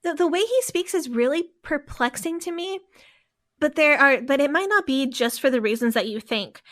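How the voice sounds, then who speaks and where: A woman's voice: high-pitched, lively intonation, moderate pace, fairly bright tone. A woman co-hosting a podcast, telling a story in a casual, rambling way. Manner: storytelling, with frequent fillers and restarts. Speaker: podcast co-host.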